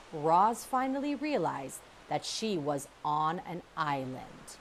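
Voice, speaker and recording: A woman reading a children's chapter book aloud, with expressive, measured storytelling and dramatic pauses. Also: lowish voice, a little hiss or hum, low-quality recording. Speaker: storybook reader. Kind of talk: children's audiobook read-aloud, scripted narration.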